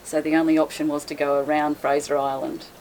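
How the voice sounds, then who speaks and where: A woman's voice: low voice, a little fast, a loud crowd, low-quality recording. A woman, a guest boat owner, chatting casually and laughing as she tells stories. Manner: chatty, laughs often, lively retelling. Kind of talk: casual storytelling chat.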